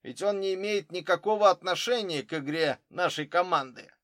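A man plays a troubled football coach in an audiobook. He talks earnestly and with complaint, in a raised, fairly high male voice with a dry sound. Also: moderate pace, fairly bright tone, no room sound. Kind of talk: audiobook character dialogue.

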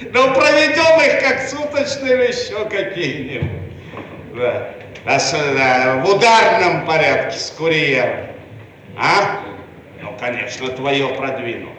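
Pompous soviet official, high pitched, radio satire, declaims everything, echoey old archive recording with faint hiss. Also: male voice, animated, measured pace, sharp tone. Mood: self-important, wheedling. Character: pompous, self-important male Soviet bureaucrat boss, ignorant and blustering. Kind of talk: theatrical satirical radio play acting.

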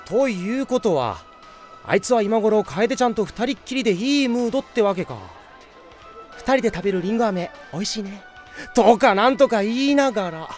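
A man's voice: high voice, expressive, moderate pace. Casting: a cocky young ladies' man for anime audio drama, suave and boastful, swooping melodic delivery, light music underneath. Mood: teasing, smooth.

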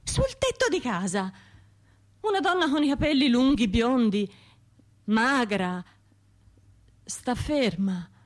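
An anxious, halting Tuscan woman, slow-paced. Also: a little high, very animated. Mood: uneasy, hesitant. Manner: halting, fragmented, self-interrupting inner monologue. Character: traumatised woman bombing survivor, anxious and self-doubting, talking to herself. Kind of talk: radio drama monologue.

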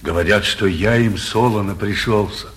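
An actor playing an anxious, blustering middle-aged provincial mayor in emphatic, theatrical 1940s radio comedy style. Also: male voice, on the low side, moderate pace, natural voice. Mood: worried.

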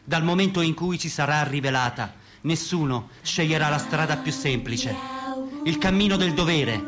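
An actor playing a solemn, grandiose orator in mourning, declaiming theatrically in a radio drama. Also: male voice, fairly high pitch, moderate pace, a bit bright, natural voice. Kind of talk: Italian stage-style radio drama monologue. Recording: music playing behind the voice. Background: instrumental music.

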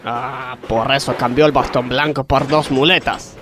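Guy playing a cheeky argentine factory worker, deadpan, mid voice, bit of noise behind. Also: medium pitch, measured pace, natural voice. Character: cheeky, literal-minded male factory worker. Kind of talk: comedic radio theatre acting.

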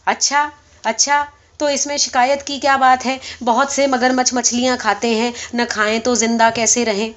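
A woman voicing a feeble, foolish old male clerk who is hard of hearing, slowly querying and repeating things in a story. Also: very high voice, little pitch movement, a bit bright, highs cut off, pitched above the performer's own voice. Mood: puzzled, complacent. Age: elderly. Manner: slow-witted, querying and repeating what was heard.

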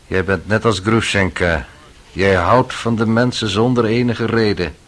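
A man's voice: lowish voice, unhurried. An actor playing a cynical, worldly young man in a radio drama, sly and needling in manner. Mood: scornful, needling. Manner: sardonic, insinuating.

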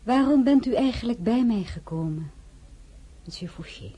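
A woman playing the proud, sharp-tongued heroine of a radio play, narrating and speaking with cool, cutting restraint. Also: fairly high pitch, lively intonation, normal speed, husky. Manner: cool, cutting, sometimes whispered. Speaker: narrator and lead role.

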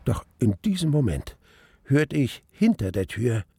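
An actor playing a reflective older man narrating his memories in a radio drama, literary, deliberate and gravelly. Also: medium pitch, very animated, mellow, slight background noise. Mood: tense, hopeful.

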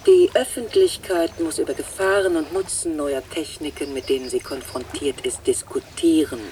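A woman's voice: sits low, lively intonation, normal speed, warm tone. A female government minister in a radio drama. She delivers formal, evasive statements with strongly varied intonation, sounding distant with faint noise behind her. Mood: officious.

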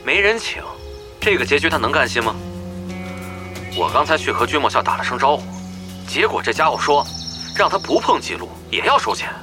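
Wary, shrewd guild negotiator, lively higher voice. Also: male voice, brisk, pauses often, over music. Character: wary male online game guild leader, shrewd negotiator. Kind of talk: audio drama acting.

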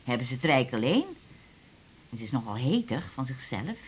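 A woman's voice: rumbling low, big pitch swings, moderate pace, husky, heavily acted. An actress playing a middle-aged mother, fussy and stammering, who chats hesitantly about her teenage daughter. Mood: fretful. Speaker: patient's mother. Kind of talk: 1980s radio drama acting.

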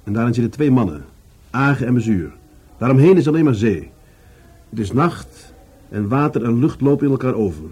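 A man's voice: medium pitch, expressive, brisk, pauses often, mellow, slightly rough. A man acting in a Dutch radio play as an earnest, thoughtful police adjutant, politely and persistently explaining to his superior.

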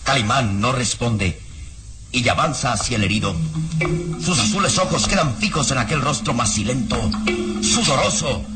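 A man's voice: medium pitch, lively intonation, moderate pace, close to an everyday voice. A man playing the solemn, suspenseful narrator of an old Mexican radio serial, formal and dramatic in delivery. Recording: old radio recording under loud music.

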